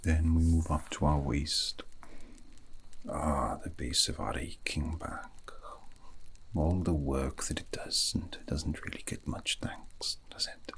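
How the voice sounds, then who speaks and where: A man playing a gentle, wistful fantasy mage in an ASMR roleplay, soothing a weary friend to sleep in a formal, poetic way with a low, breathy whisper. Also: fairly even intonation, slow, full character voice. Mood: soothing, tender.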